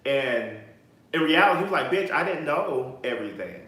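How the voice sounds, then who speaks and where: A man's voice: fairly high pitch, lively intonation, moderate pace, bright, forceful tone, heavy reverb, poor audio. A man in his late twenties, a podcast guest, talking candidly and bluntly in casual interview chat and swearing freely. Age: 20s.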